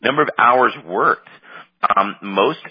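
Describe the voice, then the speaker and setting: A man with a head cold, sounding nasal and slightly raspy, coaching a group over a conference call. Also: medium pitch, slow pace, no room sound. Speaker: practice coach. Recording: dull conference call line.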